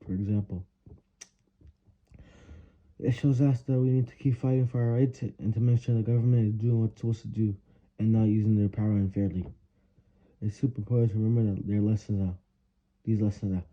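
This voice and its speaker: Low-voiced young man, dull lo-fi recording. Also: fairly even intonation, fairly quick, long pauses. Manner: earnest, stumbles over words while reading. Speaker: student. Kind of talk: reading prepared written answers aloud.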